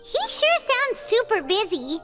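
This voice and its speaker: Squeaky, tiny fairy girl. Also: very animated, unhurried, music in the background, full character voice. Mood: curious. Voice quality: squeaky, childlike. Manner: refers to herself by name, bubbly. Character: small chatty childlike fairy girl companion, cheerful and excitable. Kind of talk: animated video game voice acting.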